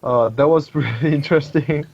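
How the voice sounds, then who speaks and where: A man who is not a native English speaker, chatting casually as a podcast guest and often pausing to search for words. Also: fairly high pitch, creaky, lo-fi sound, slight background noise. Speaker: podcast guest, competitive shooter. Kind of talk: casual chat.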